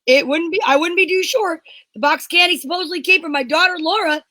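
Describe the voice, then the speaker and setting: A woman's voice: very high-pitched, brisk, sharp tone, no room sound, clean studio sound. A woman playing a jittery, irritable older father in an amateur radio drama, fussy and stammering. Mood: dismissive.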